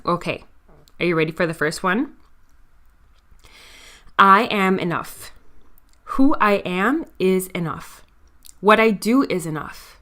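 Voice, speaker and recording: A woman's voice: fairly low pitch, a bit bright. A woman in her twenties hosting her own podcast, talking candidly and confidingly to listeners in a casual monologue, with a slight stutter. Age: 20s. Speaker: podcast host.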